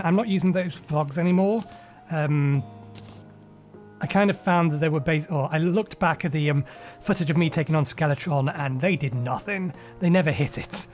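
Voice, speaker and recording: A man hosting a let's-play, talking through his game in a rambling, casual way with lots of ums, his voice a little raspy from a recent cold. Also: fairly high pitch, darkish tone. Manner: rambling, self-correcting with frequent ums. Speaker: gaming channel host.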